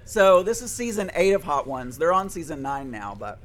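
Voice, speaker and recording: A man in his forties with a Southern accent, hosting a casual podcast, chatty and animated, laughing often and restarting his sentences. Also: fairly high pitch, moderate pace. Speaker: podcast host. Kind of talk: casual chat.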